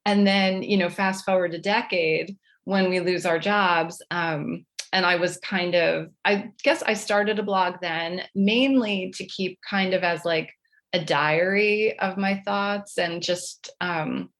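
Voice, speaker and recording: A middle-aged woman with a slightly low voice talks as a guest author in an interview. She is chatty and anecdotal, with a self-deprecating way of telling her own stories. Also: fairly even intonation, slow pace, fairly bright tone. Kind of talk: conversational interview storytelling.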